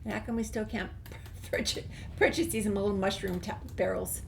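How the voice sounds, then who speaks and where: A woman's voice: medium pitch, steady tone, moderate pace, vocal fry. A woman giving casual, playful commentary as she plays through a game. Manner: informal, playful. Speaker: gaming video host. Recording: faint background noise. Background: game ambience.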